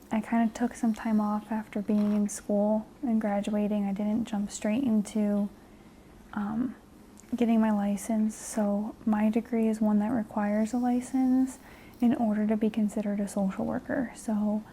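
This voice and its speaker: A young woman in her twenties, a guest, talking in a soft half-whisper with many fillers. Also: a little high, a little hiss or hum, low-quality recording. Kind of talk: soft-spoken ASMR chat.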